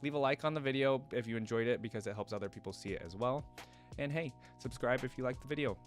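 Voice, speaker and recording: A man's voice: medium pitch, fast, soft background music. A man hosting a reaction video, talking in a casual, enthusiastic and rambling way.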